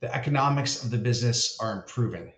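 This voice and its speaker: A man speaking as a company founder and chief executive, reading prepared earnings call remarks in a formal, measured way. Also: mid-range voice. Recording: echoey, roomy sound.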